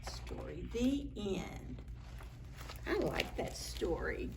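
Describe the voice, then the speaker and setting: Children's librarian, woman, slow and lively story time read-aloud, faint room hiss. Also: medium pitch, creaky. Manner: animated, sing-song, slips into soft whispers.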